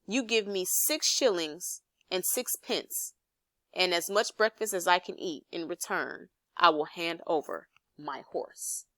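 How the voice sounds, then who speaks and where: A woman voicing a conceited, excitable male toad in a children's storybook, with a pompous, exclamatory delivery. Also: fairly low pitch, takes it slowly, no room sound. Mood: haggling, firm. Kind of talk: children's storybook read aloud.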